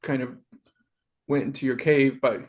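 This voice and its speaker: A man in his fifties co-hosting a podcast, joking about himself while reminiscing about high school. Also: moderate pace, husky. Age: 50s. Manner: self-deprecating, joking, wry. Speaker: podcast co-host. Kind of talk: casual reminiscing chat.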